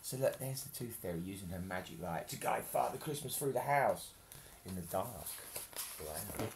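A man in his thirties reads a picture book aloud to children. His pitch moves up and down in a very lively way, and he is playful and expressive. He often drops into chatty asides to explain what is going on. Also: normal speed, creaky, slight background noise. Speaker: storyteller reading to children. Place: home kitchen, indoors. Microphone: webcam at arm's length.

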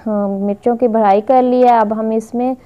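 A woman's voice: fairly high pitch, fairly even intonation, moderate pace, smooth, dull audio. A woman hosting her own cooking vlog, narrating a recipe step by step in a chatty, repetitive way with fillers, recorded on a phone in a home kitchen. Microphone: phone camera filming the pot.

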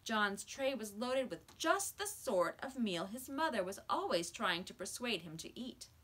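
A middle-aged woman reading a children's book aloud in a measured, expressive way, indoors in a small room. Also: a little high, breathy edge. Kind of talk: children's storybook read-aloud. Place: small school office or library room, indoors.

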